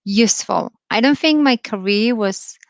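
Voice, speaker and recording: A woman's voice: on the high side, expressive, a little slow, no room sound. A woman in her thirties from Poland with a Polish accent, giving an informal live talk, thoughtful and full of ums and restarts. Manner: thoughtful, many fillers and restarts. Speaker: career speaker.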